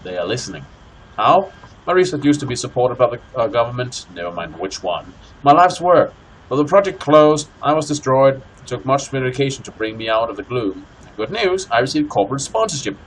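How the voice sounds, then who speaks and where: A man hosting a co-op gaming session, commenting casually and reading in-game text aloud with deliberate phrasing. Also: medium pitch, big pitch swings, poor audio. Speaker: host of a co-op let's play. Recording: clear background noise, game ambience.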